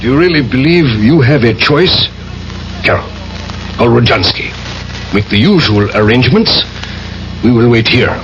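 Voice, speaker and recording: An actor playing a cold, menacing Soviet intelligence major in a 1970s radio mystery, with formal, clipped, imperious speech. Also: male voice, mid-range voice, lively intonation, normal speed, pauses often, lightly acted. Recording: dull vintage radio, faint noise.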